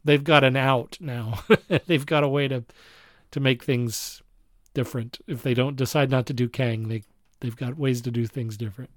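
A man's voice: mid-range voice, steady tone, normal speed, no echo. A man co-hosting a podcast, chatting casually about films and repeating phrases as he thinks. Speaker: podcast host. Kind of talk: casual chat, informal film reviewing.

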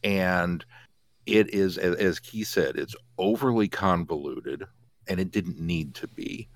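Low-pitched man in casual podcast discussion. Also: takes it slowly, no room sound. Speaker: podcast co-host.

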